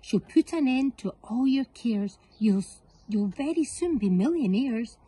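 Woman doing storybook hen, duck, goose, high, sly, sweet-talking. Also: animated, measured pace, warm tone, breathy edge, dry recording. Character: chatty farm birds, a hen, duck and goose.

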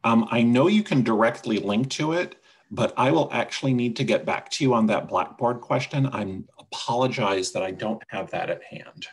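A man with a gravelly voice presenting a product demonstration and answering questions on a video call. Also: medium pitch, normal speed. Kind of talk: webinar demonstration and Q&A. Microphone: video call microphone.

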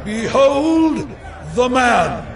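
An actor playing an imperious, exasperated Roman governor in a scripture drama, with declamatory, drawn-out lines. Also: male voice, very high voice, sing-song, a crowd in the background, noisy background, full character voice. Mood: proclaiming to crowd. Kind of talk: dramatized scripture audio acting. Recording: distant mic under loud music.

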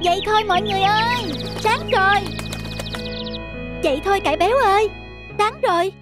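A woman's voice: very high-pitched, brisk, music in the background. A woman voicing a small, friendly, helpful bird in a children's cartoon. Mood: cheerful calling.

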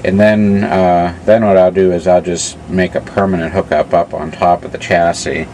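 Deep-voiced man, halting demonstration. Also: flat delivery, a little mellow, slight background noise. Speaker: hobbyist radio repairer. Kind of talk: casual demonstration narration.

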